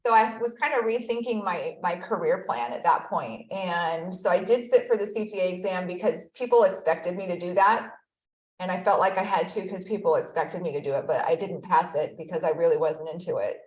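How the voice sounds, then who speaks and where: A woman's voice: fairly low pitch, fairly quick, roomy sound, poor audio. A woman in her thirties, a guest in a conversational interview, telling her story in an earnest, reflective way. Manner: earnest, reflective storytelling with frequent "you know". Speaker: guest, accountability expert.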